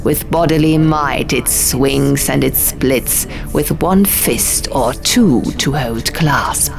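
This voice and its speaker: A woman voicing a haughty, menacing male scribe god in an audiobook, with slow, pompous, riddling declamation. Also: rumbling low, creaky, full character voice. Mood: pompous, challenging. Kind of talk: audiobook character voice. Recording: music behind the voice.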